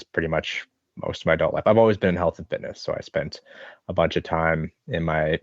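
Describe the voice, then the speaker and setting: A man in his thirties with an American accent, answering interview questions in a relaxed, thoughtful, rambling way. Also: rumbling low, no echo. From American Midwest. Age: 30s. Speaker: podcast guest, online fitness coach. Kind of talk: casual interview chat. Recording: dull lo-fi sound.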